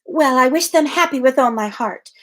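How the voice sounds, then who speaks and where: A woman's voice: high voice, normal speed, voice pushed up. A woman voicing a wistful, earnest young woman from a novel, given to sighing. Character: wistful, sweet young woman sighing over lost love.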